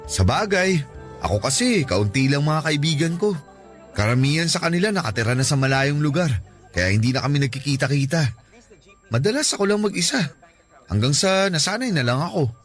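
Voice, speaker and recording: A man's voice: on the high side, sing-song, brisk. An actor with a Filipino accent playing a shy, earnest young man in a conversational radio drama. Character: shy, earnest young man, introverted first-time volunteer. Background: background music.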